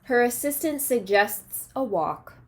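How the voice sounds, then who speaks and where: A woman teacher reading a picture book aloud to children, expressively, pausing to ask questions and check understanding. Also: on the high side, animated, a little mellow.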